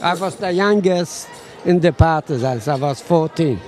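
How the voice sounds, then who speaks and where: A man's voice: fairly high pitch, animated, measured pace, a little mellow. A man, giving short, plain answers in an outdoor interview. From Poland. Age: 80s.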